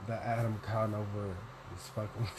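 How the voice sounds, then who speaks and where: A young man with a deep voice, rambling through a solo vlog, self-deprecating and swearing casually. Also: level tone, moderate pace, background noise. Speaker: podcaster and vlogger.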